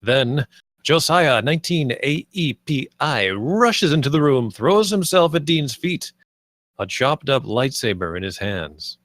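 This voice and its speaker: A man's voice: medium pitch, sing-song, unhurried, a bit bright, no room sound. A man reading scripted stage directions as the narrator of a screenplay table read.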